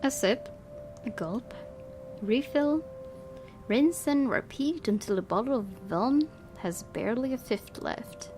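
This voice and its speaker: A woman's voice: on the high side, animated, takes it slowly, warm tone. A woman playing a visual novel, reading its text aloud and adding casual reactions. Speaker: game streamer. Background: game music.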